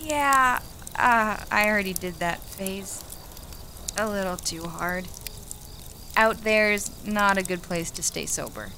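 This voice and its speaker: An American actress playing a young, cynical warehouse picker, wry and argumentative, in a naturalistic audio drama. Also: female voice, mid-range voice, takes it slowly, bright, forceful tone, slight background noise. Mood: guarded.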